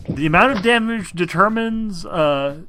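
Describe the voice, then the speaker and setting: A man's voice: sits high, lively intonation, measured pace, crowd noise. A man running a tabletop game as game master, talking casually and reading out rules in an exasperated, instructing way.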